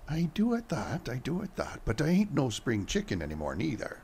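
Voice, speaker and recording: A man's voice: medium pitch, wildly swooping pitch, brisk, darkish tone, slight background noise. A man playing a weary, good-humored dockworker husband in a radio play. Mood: easygoing. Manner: folksy, teasing.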